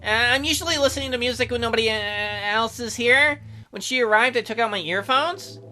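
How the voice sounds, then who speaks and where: A nervous, lonely male house cleaner in a mystery game , put on by a man playing it. He stammers and hesitates, eager to please. The voice is high and bright, with music underneath. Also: fairly quick, full character voice, low-quality recording.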